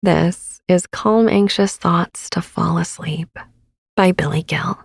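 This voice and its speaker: A woman in her thirties gently narrating a sleep meditation as podcast host, with a soft, breathy, soothing and unhurried delivery. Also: on the low side, no echo.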